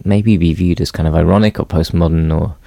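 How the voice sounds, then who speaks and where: A man musing reflectively and hesitantly, often pausing to search for words. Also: sits low, fairly even intonation, gravelly, dark tone, no echo. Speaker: interviewee.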